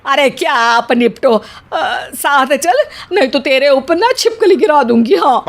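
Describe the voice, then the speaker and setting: A woman's voice: high-pitched, expressive, a little slow. A woman voicing a bossy, sarcastic, easily frightened middle-aged mother-in-law in a cartoon story, scolding and exclaiming. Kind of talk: Hindi cartoon story dialogue.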